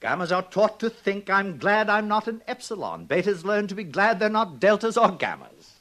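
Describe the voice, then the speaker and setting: An actor playing a smug, jolly director who lectures students pompously, in the theatrical style of 1950s radio drama. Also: male voice, sits high, big pitch swings, moderate pace, fairly bright tone. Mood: pleased instructing.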